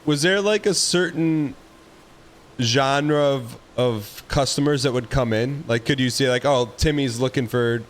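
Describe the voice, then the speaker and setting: A man's voice: medium pitch, a little slow. A man hosting a stream, asking curious questions and teasing his guest in a casual chat. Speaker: stream host.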